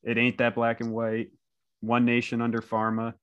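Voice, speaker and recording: A man's voice: mid-range voice, completely flat delivery, normal speed, clear, resonant tone, no echo, muffled recording. A man hosting a casual conversation in a laid-back, slangy way, often saying dude and you know. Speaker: podcast host, interviewer. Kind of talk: casual chat.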